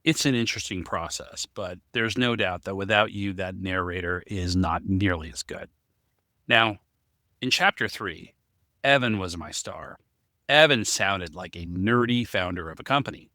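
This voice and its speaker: A man's voice: deep voice, normal speed, sharp tone, no echo, clean studio sound. A man explaining things conversationally as a podcast co-host.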